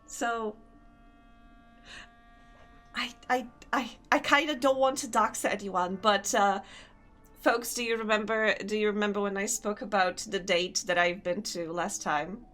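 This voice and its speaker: A woman's voice: medium pitch, steady tone, measured pace, fairly bright tone. A young woman with a Polish accent chatting casually with her stream chat while gaming, laughing often and answering comments. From Poland.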